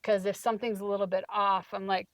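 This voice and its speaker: A woman's voice: medium pitch, flat delivery, fast, no room sound. A woman hosting a casual, reflective one-on-one conversation. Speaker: conversation host.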